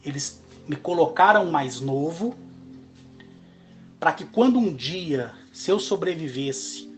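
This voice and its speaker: Halting Brazilian man in his forties. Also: a little high, animated, faint music behind. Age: 40s. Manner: slow, emphatic, halting delivery in short phrases. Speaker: channel host. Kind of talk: monologue to camera.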